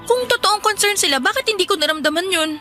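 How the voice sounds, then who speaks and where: A woman's voice: extremely high pitch, lively intonation, fast talker, bright, forceful tone, faint music behind, exaggerated voice. A woman playing a hurt young daughter who protests politely and pleadingly in a Filipino radio drama. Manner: hesitant, respectful, pleading.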